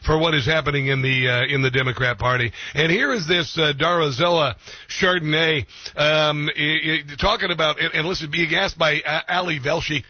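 A man's voice: fairly high pitch, fairly even intonation, normal speed, no echo. A man hosting a talk radio show, giving combative, sarcastic commentary. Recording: slightly muffled radio audio.

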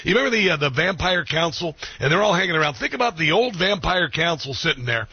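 A man's voice: a little high, animated, quick pace, husky, dry recording. A man hosting a talk radio show, giving combative, sarcastic commentary. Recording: slightly muffled radio audio.

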